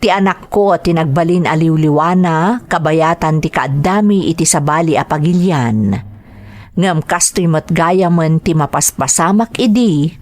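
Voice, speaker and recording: Slow-paced Ilocano woman narrator. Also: low voice, a little mellow. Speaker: drama host and letter reader. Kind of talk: scripted narration.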